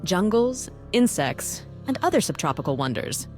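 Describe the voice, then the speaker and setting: A woman voicing a bossy, cynical female task force boss in a visual novel, brisk, dry and condescending. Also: mid-range voice, very animated, a little mellow, soft background music, close to an everyday voice.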